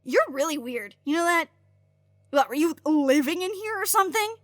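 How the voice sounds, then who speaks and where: A woman's voice: high-pitched, animated, moderate pace, no room sound. A woman playing a brash, chatty, sarcastic agent with a blunt way of talking in an audio drama. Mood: sarcastic, needling. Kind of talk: naturalistic audio drama dialogue.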